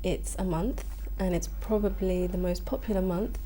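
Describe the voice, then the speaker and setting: A young female student is a guest on a talk show. Her voice is mellow and warm. She explains her views fluently and with confidence. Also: on the low side, steady tone, moderate pace, slight background noise, poor audio. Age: young adult. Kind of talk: interview answers.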